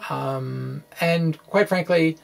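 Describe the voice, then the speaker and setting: A middle-aged man talks through technical material in an informal way. His voice sits a little high for a man and breaks often into creak. He proceeds haltingly, with a wry, self-deprecating slant. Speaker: physics blogger and presenter. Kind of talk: informal explanation, reading own blog aloud. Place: small room with bookshelves, indoors. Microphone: microphone on stand in front of him.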